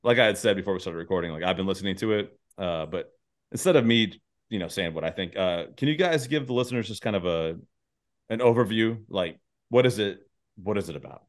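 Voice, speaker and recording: A man's voice: low voice, lively intonation, brisk. A man hosting an interview podcast, moving between scripted introductions and casual, self-deprecating chat. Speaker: podcast host interviewing guests.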